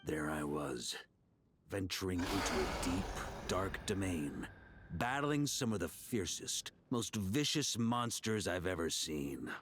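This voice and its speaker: A boastful, animated knight grandmaster. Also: male voice, sits low, takes it slowly, slight background noise, exaggerated voice. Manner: theatrical, self-assured storyteller. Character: boastful, easygoing veteran knight grandmaster spinning tall tales. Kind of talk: English video game voice acting. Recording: game music behind the voice. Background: game music.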